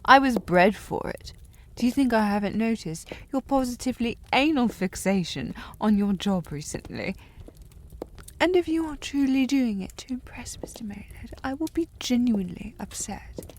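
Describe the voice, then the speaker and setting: A woman acting a haughty, slightly tipsy young upper-class lady in a historical romance audio drama, with prim formal diction and a breathy voice that often drops to a whisper. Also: a little high, lively intonation, moderate pace. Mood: indignant, scolding. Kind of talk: historical romance audio roleplay, intimate one-sided dialogue.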